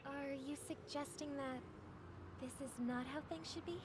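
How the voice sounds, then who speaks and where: A woman playing a gentle, thoughtful young sister in a video game, speaking earnestly and questioningly. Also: high-pitched, steady tone, moderate pace, background noise, close to an everyday voice. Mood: troubled, questioning. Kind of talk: dramatic video game acting. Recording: music behind the voice.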